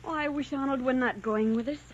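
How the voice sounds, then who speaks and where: A woman's voice: high-pitched, a little fast, a little hiss or hum, natural voice. An actress playing a romantic, restless young society lady in an old-time radio drama, dreamy and playful. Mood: dreamy, wistful. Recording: dull old radio recording with music.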